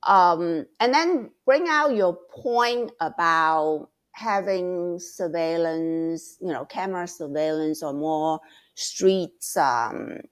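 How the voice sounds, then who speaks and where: A middle-aged woman giving advice as a mentor, talking slowly and thoughtfully, often repeating words as she searches for them. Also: lowish voice. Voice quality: loud, passionate voice. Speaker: guest mentor. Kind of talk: casual advice talk.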